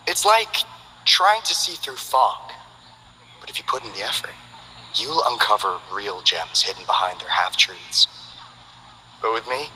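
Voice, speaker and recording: An actor playing a smooth-talking, friendly male info broker, with teasing, salesman-like banter in game character voice lines. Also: medium pitch, bright, forceful tone, long reverb tail, slight background noise, rough recording.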